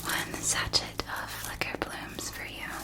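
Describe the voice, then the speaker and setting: Woman doing a kindly potion shop lady, asmr roleplay, all breathy whispering, slow n careful. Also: lightly acted. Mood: soothing, helpful. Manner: slow, deliberate, gently explanatory. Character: kindly female shopkeeper of magical witch-school potion supplies.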